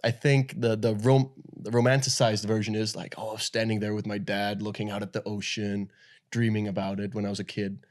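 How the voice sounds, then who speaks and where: A young man from Denmark, a guest in an interview, telling his story in a relaxed, loose way with lots of fillers. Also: lowish voice, brisk. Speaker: guest, pro paddle surfer. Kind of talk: casual interview talk.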